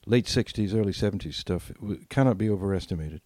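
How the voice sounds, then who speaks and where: A man's voice: lowish voice, fast, a little mellow, no room sound, studio quality. An older man telling anecdotes casually as a podcast co-host, acting out bits of dialogue as he reminisces.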